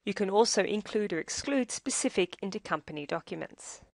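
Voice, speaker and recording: A woman presenting a software demo as a scripted tutorial narration, in a measured, instructional manner. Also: mid-range voice, animated, creaky, no echo.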